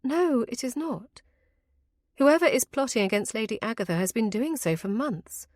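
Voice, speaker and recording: A woman voicing an astute, caring, composed young gentlewoman in an audiobook, formal and gently firm. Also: a little high, lively intonation, normal speed, no echo, studio quality, voice pushed up. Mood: reassuring, earnest. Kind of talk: audiobook character voice.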